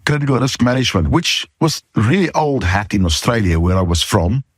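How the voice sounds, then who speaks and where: A middle-aged or older man with a South African accent, hosting an informal podcast talk in a candid, emphatic way, pausing between short phrases. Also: fairly low pitch, breathy edge, dry recording, studio quality. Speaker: podcast host, safety consultant.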